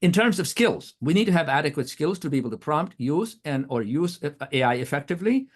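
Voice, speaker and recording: A middle-aged man whose first language is Nepali lectures earnestly, posing question after question. His pitch swings widely as he talks, and he stumbles and restarts words often. His throat is irritated by allergy. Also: big pitch swings, a little fast. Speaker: guest speaker, professor. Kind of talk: academic talk.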